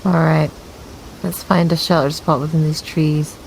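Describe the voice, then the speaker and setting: A woman's voice: deep voice, level tone, measured pace, darkish tone, low-quality recording. A woman chatting casually as a player in a tabletop roleplaying game. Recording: clear background noise.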